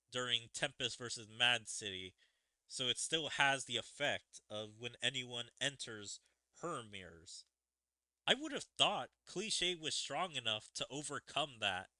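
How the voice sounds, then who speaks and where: A man's voice: medium pitch, sharp tone, no echo. A man giving casual commentary as the host of a gaming video.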